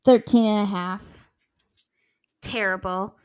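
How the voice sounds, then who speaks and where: A woman co-hosting a podcast, giving blunt, giggly takes in casual chat with a high voice. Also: steady tone, takes it slowly, a little mellow. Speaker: podcast co-host.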